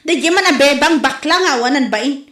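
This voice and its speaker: A brash, penny-pinching village storekeeper played by a woman in a comedy radio play. Her voice is very high and piercingly bright, with a dismissive, scolding delivery. The sound bounces around an echoey room. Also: animated, normal speed. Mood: scornful. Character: stingy, brash female village storekeeper who refuses credit. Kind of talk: comedy radio drama acting.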